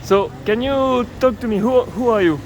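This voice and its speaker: A man's voice: very high-pitched, normal speed. A man interviewing a passerby, asking curious questions with many fillers. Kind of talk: street interview. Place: city street outdoors with traffic. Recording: loud street noise.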